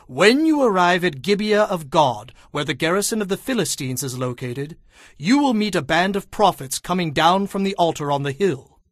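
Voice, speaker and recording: Commanding old prophet, high-pitched, declamatory, deliberate, solemn, audio Bible drama, dry studio sound. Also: male voice, sing-song, no room sound. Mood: solemn, instructing.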